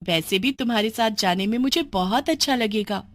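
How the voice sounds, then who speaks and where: A woman's voice: on the high side, normal speed, no room sound, close to an everyday voice. A woman voicing a friendly, innocent schoolgirl who scares easily, in a children's cartoon story. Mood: friendly, surprised.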